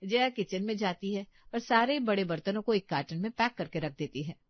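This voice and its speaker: A woman narrating a scripted bedtime story in an even, explanatory way. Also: mid-range voice, a little fast, no room sound, muffled recording.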